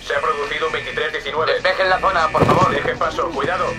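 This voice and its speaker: A man voicing a brisk, officious masked decontamination agent giving clipped commands through a radio-like effect. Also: fairly high pitch, fairly even intonation, pitched above the performer's own voice. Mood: urgent. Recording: echoing voice under loud music.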